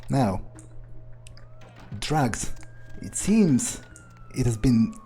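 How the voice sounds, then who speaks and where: A man playing a cynical, foul-mouthed vampire in an improvised game roleplay, husky and halting, muttering scornfully. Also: medium pitch, sing-song, mellow, slight background noise, full character voice. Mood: musing, scornful. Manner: halting, muttering, profane, sardonic.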